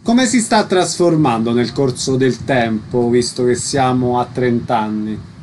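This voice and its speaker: A man's voice: medium pitch, a little slow, darkish tone, a little hiss or hum. A man presenting a radio programme with scripted introductions and short interview questions.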